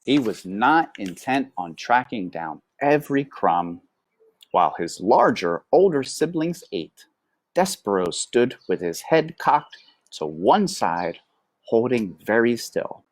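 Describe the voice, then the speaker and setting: A woman reading a children's book aloud as storyteller, with dramatic, deliberate phrasing. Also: extremely low pitch, very animated, dry recording.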